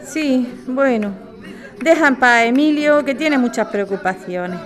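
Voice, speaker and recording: A woman's voice: high voice, a little slow, faint music behind. A woman playing a nostalgic widowed mother of a grown daughter in an audio drama. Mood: nostalgic, worried.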